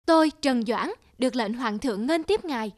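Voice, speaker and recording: Woman voicing a high-pitched courteous court official. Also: animated, moderate pace, natural voice. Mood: polite. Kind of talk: cartoon folktale voice acting.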